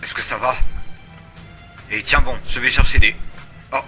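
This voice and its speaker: A man's voice: medium pitch, fairly even intonation, unhurried, bright, forceful tone, faint music behind. A man in an amateur French anime dub playing a stern, protective mentor. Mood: urgent, protective.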